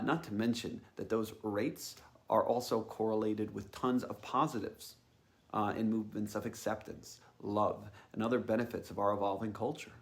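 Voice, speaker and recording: A man giving a reflective personal monologue as a host, musing tentatively and thinking aloud. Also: lowish voice. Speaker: podcast host.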